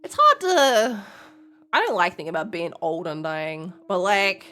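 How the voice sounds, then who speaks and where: A woman's voice: mid-range voice, sing-song, measured pace, sharp tone. A young woman streaming a game, chatting casually, blunt and swearing, laughing often. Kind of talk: casual live-stream commentary.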